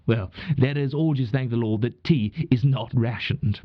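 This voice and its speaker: A man's voice: mid-range voice, normal speed, no echo, muffled recording, lightly acted. A man playing a genial wartime British radio broadcaster from 1940, speaking formally but chattily in old-fashioned phrases, with a British accent. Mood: chatty, genial. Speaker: radio host. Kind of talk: scripted 1940s radio acting.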